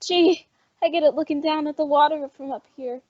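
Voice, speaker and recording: A woman's voice: high voice, fairly even intonation, a little fast, darkish tone, dry recording, close to an everyday voice. A woman playing a friendly, chatty young woman in an old-time radio drama. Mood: breezy. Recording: dull, lo-fi audio.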